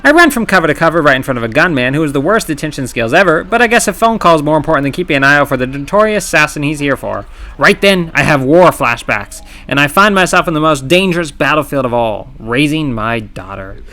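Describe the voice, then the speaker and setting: A man narrating his own gameplay challenge in scripted voice-over, wry and sarcastic with playful dramatic pauses. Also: on the high side, lively intonation, sharp tone. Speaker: gaming channel host. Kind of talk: scripted comedic game commentary narration.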